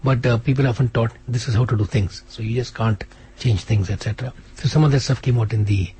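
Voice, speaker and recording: A man being interviewed on radio as an author, speaking in a quick, animated way with frequent restarts. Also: medium pitch, a little hiss or hum. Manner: fast, animated, restarts often. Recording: radio studio.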